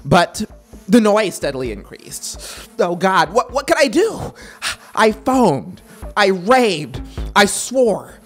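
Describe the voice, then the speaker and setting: A narrator playing a guilt-mad murderer in a theatrical, drawn-out horror audiobook performance, feverish and unraveling. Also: mid-range voice, very animated, exaggerated voice. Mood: agitated, frantic. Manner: theatrical, drawn-out, swinging from hush to shriek. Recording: synthesizer music behind the voice.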